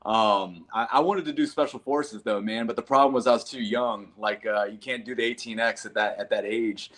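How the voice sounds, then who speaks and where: A man's voice: lowish voice, normal speed, fairly bright tone. Earnest folksy man in his thirties. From North Carolina. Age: 30s. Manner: earnest, folksy, calls listeners man and brother. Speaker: guest, combat veteran. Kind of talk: casual interview chat.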